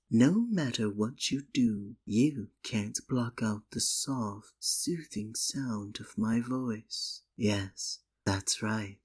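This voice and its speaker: A man playing a sinister, possessive magician in an ASMR roleplay, speaking softly and coaxingly with theatrical, condescending endearments. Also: lowish voice, heavily acted. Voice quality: soft, breathy. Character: sinister, possessive male stage magician, soothing hypnotist. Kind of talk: ASMR roleplay, scripted dramatic monologue.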